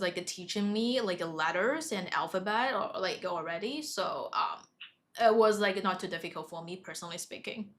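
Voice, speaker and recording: A woman's voice: mid-range voice, fairly bright tone. Hesitant woman. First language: Chinese. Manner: hesitant, many fillers like "like, uh". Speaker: co-host and interpreter. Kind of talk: casual chat.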